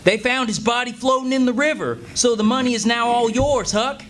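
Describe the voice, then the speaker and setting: A man's voice: very high-pitched, a little fast, a bit bright. An actor playing a cocky, adventure-hungry country boy who schemes eagerly in an old-time radio play. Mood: earnest, boastful. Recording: live stage recording with faint noise.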